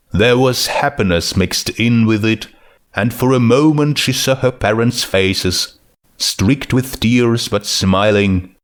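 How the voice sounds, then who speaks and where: A man narrating scripted fiction in a measured, dramatic storytelling manner. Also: on the low side.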